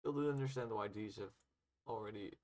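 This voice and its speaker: A man's voice: lowish voice, a little fast, a little mellow. A man chatting casually on a game development livestream, muttering to himself as he thinks aloud while working.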